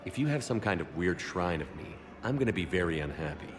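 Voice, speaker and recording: An actor playing a steady human soldier commander in a video game cutscene, speaking in a calm, dry, deadpan way. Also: male voice, low-pitched, brisk, over music.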